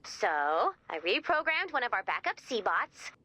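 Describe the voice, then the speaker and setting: A woman playing a perky, excitable robot who gushes like a nerdy music superfan in a video game. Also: on the high side, a little slow, dry recording, full character voice. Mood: upbeat. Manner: gushing, quippy, theatrical. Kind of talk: video game character dialogue.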